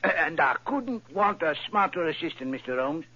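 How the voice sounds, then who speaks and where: A chatty, simple middle-aged pawnbroker who rambles through his tale in a vintage radio play. His voice is husky and swings widely in pitch. The recording is dull and lo-fi. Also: lively intonation, normal speed, pushed and bright. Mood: telling his story. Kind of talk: old-time radio drama.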